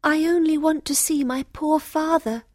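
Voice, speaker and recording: A woman plays a gentle young heroine in a storybook tale. Her voice is high and earnest, with polite, careful words, recorded very dry. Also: steady tone, a little slow, no echo. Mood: wistful. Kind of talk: dramatised storybook reading for learners.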